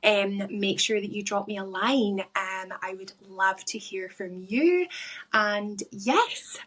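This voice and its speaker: A woman from Scotland with a Scottish accent, talking solo to her listeners as a podcast host and life coach, enunciating deliberately. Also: mid-range voice, a bit bright, slightly rough, low-quality recording.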